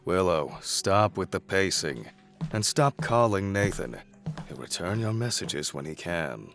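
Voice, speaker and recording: A man's voice: deep voice, expressive, measured pace, gravelly, over music, exaggerated voice. An actor playing a stern, dismissive middle-aged businessman father, curt and authoritative, in an audio drama. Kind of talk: modern audio drama acting.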